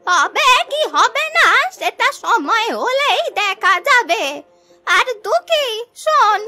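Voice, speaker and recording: A woman's voice: very high-pitched, big pitch swings, slow, bright, forceful tone, no room sound, faint music behind, heavily acted. A woman voicing an old village grandmother in a cartoon story, bossy and scolding but kindly, with emphatic, admonishing advice. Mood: advising. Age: older. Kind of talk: Bengali cartoon story dubbing.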